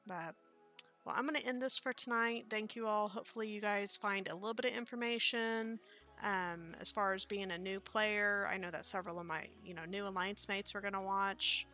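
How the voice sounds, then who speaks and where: A woman's voice: mid-range voice, fairly even intonation, moderate pace, sharp tone, soft background music. A woman with a Midwestern accent, chatting casually and rambling with many fillers while streaming a game. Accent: Midwestern American. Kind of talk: casual live-stream commentary.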